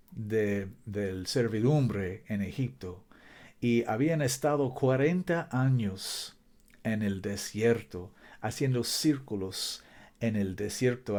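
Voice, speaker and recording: A man preaching a sermon. He reads scripture slowly and deliberately, stresses key words with emphasis, and sometimes stumbles over his Spanish. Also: mid-range voice, a little mellow. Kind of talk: sermon with Bible reading.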